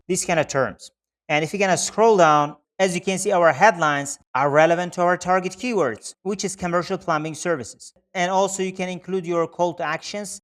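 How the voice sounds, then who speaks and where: A man's voice: a little high, fairly bright tone. A man who speaks as a narrates a screen-share tutorial as a specialist presenter, explaining methodically step by step.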